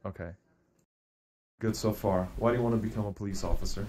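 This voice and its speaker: Low-voiced man asking formal questions. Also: steady tone, a little fast, warm tone. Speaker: roleplay police interviewer. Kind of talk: in-character roleplay interview.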